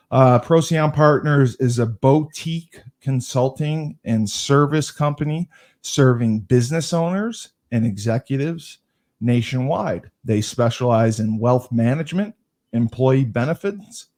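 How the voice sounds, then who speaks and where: A man hosting a casual podcast interview, joking and self-deprecating, reading the sponsor copy slowly. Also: mid-range voice, a little mellow. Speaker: podcast host.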